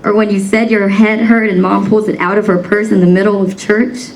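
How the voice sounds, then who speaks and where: A woman's voice: mid-range voice, little pitch movement, a little fast, distant mic, a little hiss or hum, low-quality recording. A young woman from the United States performing a spoken word poem in a rhythmic, rhyming cadence, emphatic and defiant. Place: banquet hall stage.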